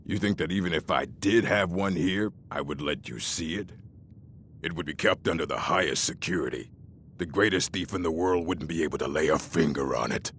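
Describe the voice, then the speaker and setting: An actor playing a gruff, curmudgeonly old orc librarian in a video game, deep and gravelly, with a grumbling, condescending, scholarly manner. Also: male voice, moderate pace, a bit bright, slight background noise. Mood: scornful. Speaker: game character.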